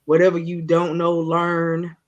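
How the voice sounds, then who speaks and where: A woman's voice: fairly low pitch, completely flat delivery, a little slow, lo-fi sound. Woman host, preachy motivational delivery. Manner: preachy, repeats phrases, checks in with listeners. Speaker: radio show host. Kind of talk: faith-based motivational talk.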